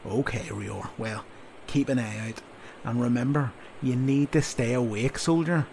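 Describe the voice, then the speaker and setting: A man voicing a blustering, sarcastic army sergeant who lectures a young soldier in loud, drawn-out scolds. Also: medium pitch, gravelly, a little hiss or hum, rough recording. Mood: gruff orders. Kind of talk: audiobook character voice.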